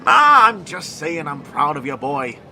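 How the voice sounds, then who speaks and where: A man's voice: fairly high pitch, sing-song, unhurried, sharp tone, slight background noise, exaggerated voice. An actor playing an affectionate older American naval officer, a folksy, exuberant father in an audio drama. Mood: proud, tender. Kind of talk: cinematic audio drama acting.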